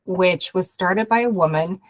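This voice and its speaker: Hoarse woman speaking on a video call. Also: lowish voice. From Canada. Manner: halting, many fillers and restarts. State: hoarse, recovering from a cold. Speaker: guest speaker, investment program head. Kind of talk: webinar presentation.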